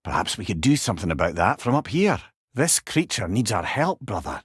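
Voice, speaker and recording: A witty, learned older Scottish man as a talking severed head in a video game. His delivery is animated and wry, with eloquent old-fashioned turns of phrase, recorded very dry and close. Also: lowish voice, fairly quick, slightly rough, dry recording, heavily acted. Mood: wry, advising. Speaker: companion character in a video game. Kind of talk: video game character dialogue.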